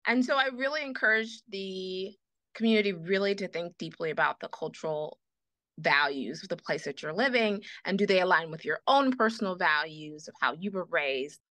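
A woman guest on a podcast talks with passion about her community and piles up phrases for emphasis. Her voice is bright and sits a little low, and the recording is dry and close. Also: animated, normal speed, no echo. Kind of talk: earnest advocacy in conversation.

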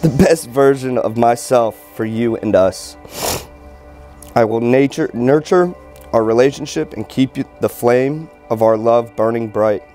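Mellow-voiced groom reading halting vows. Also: male voice, medium pitch, faint music behind. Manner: halting, earnest, stumbles over words. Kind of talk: reading personal wedding vows aloud. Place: outdoor wedding ceremony in a field. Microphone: handheld microphone held nearby by officiant.

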